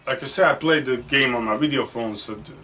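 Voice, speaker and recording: A man's voice: mid-range voice, brisk, sharp tone. Enthusiastic man, recorded from a distance. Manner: enthusiastic, talks over his co-host. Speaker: podcast co-host. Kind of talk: casual movie discussion. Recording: distant mic with faint noise.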